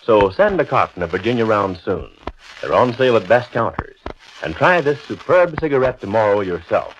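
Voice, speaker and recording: A man's voice: lowish voice, animated, moderate pace. A man announcing a scripted 1940s radio sponsor message with polished, formal salesmanship. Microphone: radio studio microphone. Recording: noisy, muffled 1940s radio recording.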